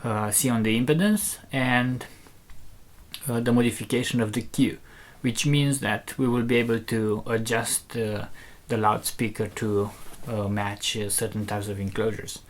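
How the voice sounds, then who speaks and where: Slow-paced, halting technical demonstrator. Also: mid-range voice, fairly even intonation. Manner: hesitant, halting delivery in short fragments. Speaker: presenter demonstrating audio equipment. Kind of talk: technical demonstration, unscripted explanation.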